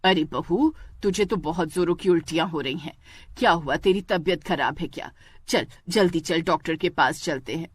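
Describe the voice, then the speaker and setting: A woman's voice: on the low side, normal speed, slightly rough. A woman voicing a widowed village mother-in-law, insistent and caring, with an emphatic motherly address. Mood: alarmed.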